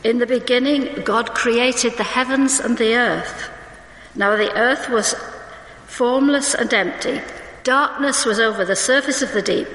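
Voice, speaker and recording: A woman preaching a sermon in a church, conversational and reading scripture slowly, suffering from hay fever. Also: on the high side, a bit bright. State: hay fever, may cough. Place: church hall. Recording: roomy church with long reverb.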